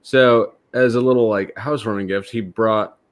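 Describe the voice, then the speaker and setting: A man's voice: fairly low pitch, fairly even intonation, moderate pace. A man co-hosting a casual livestream, blunt and teasing, with plenty of swearing. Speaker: livestream co-host.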